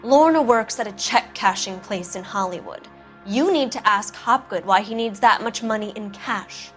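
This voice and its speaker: An actress playing a jaded, evasive Hollywood producer's wife, cool, knowing and challenging, in a 1940s noir game. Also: female voice, medium pitch, moderate pace, faint music behind, lightly acted. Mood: defiant.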